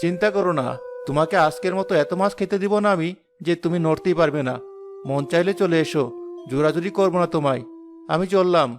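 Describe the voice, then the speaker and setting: A man voicing a kind, patient young village fisher boy in a cartoon, with a gentle, reassuring manner. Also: fairly high pitch, quick pace, music in the background, natural voice. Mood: friendly, calm. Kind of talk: animated cartoon dialogue.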